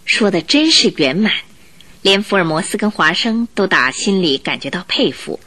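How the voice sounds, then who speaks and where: A woman's voice: medium pitch, expressive, normal speed, pushed and bright. A woman narrating a radio drama in a scripted, suspenseful storytelling style, posing questions expressively. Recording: faint music behind narration. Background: faint music.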